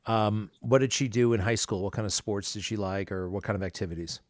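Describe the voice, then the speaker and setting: A man with a low voice hosts an interview, firing off quick questions that stumble through fillers and restarts. The sound is dry and close, with no room around it. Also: fairly even intonation, dry recording. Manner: rapid, halting questions with fillers. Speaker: interview host. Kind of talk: podcast interview.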